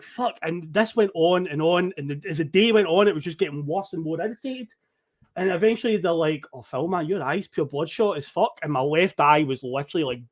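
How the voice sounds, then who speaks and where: A man with a Scottish accent, a podcast guest telling a travel story in casual chat, animated and swearing freely. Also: a little high.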